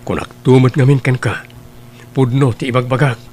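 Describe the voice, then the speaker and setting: A man's voice: mid-range voice, fairly even intonation, normal speed, darkish tone, lightly acted. Man playing an earnest young suitor, faint hum. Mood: defensive, sincere. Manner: earnest, pleading. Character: earnest young suitor, reformed womanizer pleading his sincerity. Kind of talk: radio drama dialogue.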